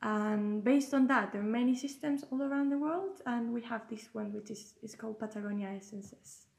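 Gravelly-voiced Chilean woman, laughing often. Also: on the high side, moderate pace, a little mellow. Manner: laughs often, hesitant fillers. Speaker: guest, flower essence therapist. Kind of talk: casual interview chat.

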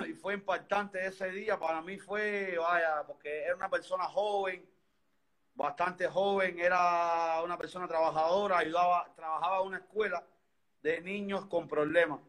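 A man in his thirties with a Cuban accent tells his own story in a high, bright voice. He talks earnestly, circling back and restarting his sentences often. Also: fairly even intonation, normal speed. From Cuba. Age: 30s. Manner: earnest, rambling, many restarts. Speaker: interview guest. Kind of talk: personal story in conversation.

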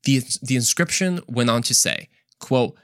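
Male podcast host, mid-range pitch, casual storyteller with a joking, playful streak, recorded dry. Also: a little slow, fairly bright tone, dry recording. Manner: playful, joking, with exclaimed asides and mimicked dialogue. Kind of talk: casual, conversational storytelling to listeners.

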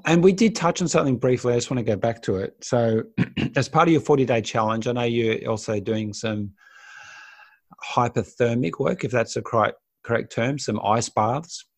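A man's voice: mid-range voice, normal speed. A man hosting a podcast interview, encouraging and lightly joking with his guest. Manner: encouraging, joking, frequent affirmations. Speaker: podcast host. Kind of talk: casual interview chat.

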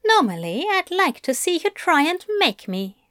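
A woman's voice: high-pitched, sing-song, moderate pace, a bit bright, no echo, clean studio sound, voice pushed up. A woman voicing a tender, teasing male angel lover in an audiobook, coaxing and fussily polite with endearments. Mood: admiring, playful. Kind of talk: fan fiction audiobook character voice.

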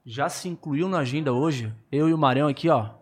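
A man's voice: fairly high pitch, fairly quick, a little mellow, husky. A man co-hosting a podcast, talking in an upbeat, informal and promotional way. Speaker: podcast co-host. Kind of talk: casual podcast chat.

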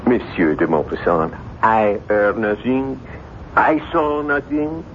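An actor playing a plain-spoken, loyal but easily rattled old-soldier handyman in a 1970s radio drama, in a halting manner. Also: on the low side. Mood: firm reassurance. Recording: tinny, narrow-band old radio recording, faint noise.

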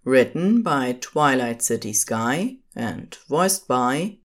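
Female narrator, scripted storytelling, very low pitch, expressive intonation. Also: takes it slowly.

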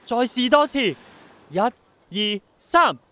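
A man's voice: very high voice, sing-song, slow, pushed and bright, exaggerated voice. An actor playing an earnest, stubborn young man in a radio drama, insistent in manner, with a Hong Kong Cantonese accent.

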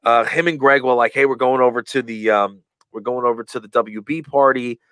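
A man telling a story in a casual interview, rambling with many fillers and restarts, in a big booming voice. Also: fairly even intonation, a little fast, fairly bright tone, no echo. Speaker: guest.

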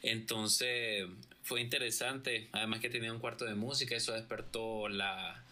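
A man of about thirty with a very bright, forward voice, pitched a little low. He tells stories casually as a podcast guest and laughs readily. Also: fairly even intonation, moderate pace. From Costa Rica and Nicaragua. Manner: laughs often while recounting. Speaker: podcast guest, music producer. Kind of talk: casual interview chat.

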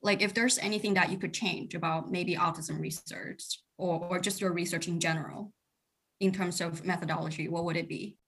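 A woman's voice: on the low side, fairly even intonation, normal speed, fairly bright tone, breathy edge. A young woman interviewing a researcher, posing thoughtful questions with lots of hedging.

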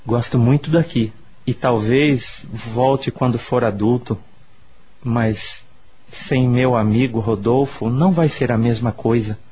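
A man's voice: mid-range voice, takes it slowly, mellow, slightly rough. An actor with a Brazilian accent playing an affectionate, wistful young boy in a radio soap opera.

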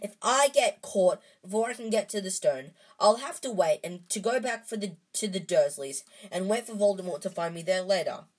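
A woman voicing a desperate eleven-year-old boy wizard who shouts and pleads at his friends. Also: mid-range voice, animated, moderate pace, few pauses, close to an everyday voice. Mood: furious shouting.

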